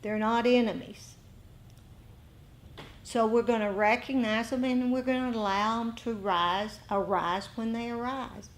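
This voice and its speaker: A woman giving an informal dharma lesson to a meditation group, pausing between short phrases. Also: fairly high pitch, steady tone. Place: indoor group meeting.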